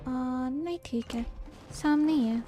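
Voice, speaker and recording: High-voiced Indian woman livestreaming games. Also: very fast, dark tone. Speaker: game livestreamer. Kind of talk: casual live gameplay chat. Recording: game music clearly behind voice.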